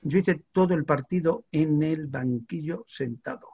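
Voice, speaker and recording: An older man from Extremadura, a veteran sports reporter, telling an animated story in a studio chat with many fillers and restarts. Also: a little high, a little slow, slightly rough, lo-fi sound. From Extremadura, Spain.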